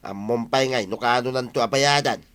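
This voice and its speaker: A man's voice: medium pitch, normal speed, fairly bright tone, close to an everyday voice. A man acting a suspicious, stingy villager who grumbles and complains, with an Ilocano accent, in a comedy radio drama. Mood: complaining. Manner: complaining, distrustful.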